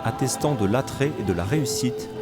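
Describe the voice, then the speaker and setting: A man reading scripted reporter narration for a news report, with loud music behind him. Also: mid-range voice, animated, fairly quick.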